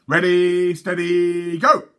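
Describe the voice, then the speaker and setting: A man voicing excitable six-year-old twin boys, eager and bragging, in loud exclamations. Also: sits high, takes it slowly, clear, resonant tone, voice pushed up, full character voice. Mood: excited.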